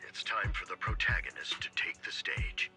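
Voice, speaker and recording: A man playing a composed, self-assured city mayor in a video game, speaking in a measured, formal and polite way. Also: whispered, lightly acted. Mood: confident. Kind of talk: scripted video game dialogue. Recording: game audio with music behind.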